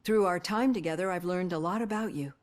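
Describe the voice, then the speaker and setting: An actress playing a composed, caring female psychologist in a video game, speaking in a measured, earnest, articulate way. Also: fairly low pitch, natural voice. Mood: earnest, reassuring. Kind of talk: acted video game dialogue.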